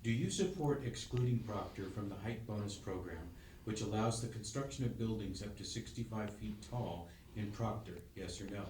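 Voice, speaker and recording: A man acting as interviewer, reading prepared questions in a brisk, businesslike way. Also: fairly low pitch, darkish tone. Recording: roomy, distant mic with faint noise.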